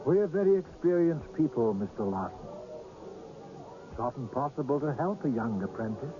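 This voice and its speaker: A man's voice: medium pitch, lively intonation, normal speed, natural voice. An actor in a radio drama playing a polite, mysterious older man with a genteel, precise, faintly hesitant manner. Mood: apologetic, assuring. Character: polite, mysterious old scout of seers. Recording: dull, noisy old radio broadcast.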